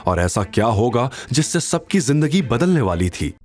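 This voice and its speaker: A man's voice: mid-range voice, sing-song, moderate pace, darkish tone, faint music behind. A man narrating a scripted audio drama in a dramatic storytelling style.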